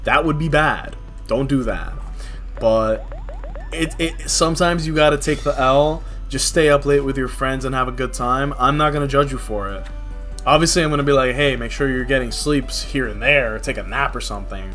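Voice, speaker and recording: A man's voice: mid-range voice, moderate pace, fairly bright tone. A young man from New City, talking casually and candidly in slangy, conversational livestream chat. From New York City. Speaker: game livestreamer. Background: music.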